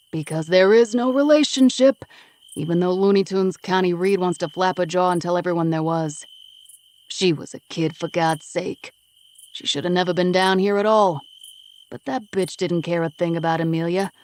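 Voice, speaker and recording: A woman voicing a gruff, defensive East Coast man in his thirties who swears bluntly, in an audiobook. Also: fairly low pitch, a little mellow, close to an everyday voice.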